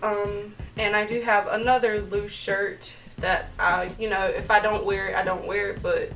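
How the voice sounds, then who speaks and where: Young woman, heavily pregnant, soft chatty vlog talk, mid-pitched, a bit off-mic with faint music. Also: level tone, moderate pace, distant mic, poor audio. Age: young adult. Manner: soft-spoken, chatty, with light laughs and fillers. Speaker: vlog co-host. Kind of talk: casual chat. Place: bedroom, indoors.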